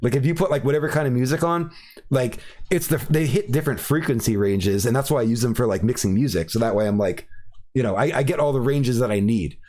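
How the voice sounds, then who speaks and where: A man talks loosely on his live podcast, piling up fillers and restarts and swearing freely. His pitch sits in an ordinary middle range. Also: fast talker, a little mellow. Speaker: podcast host. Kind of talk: casual chat.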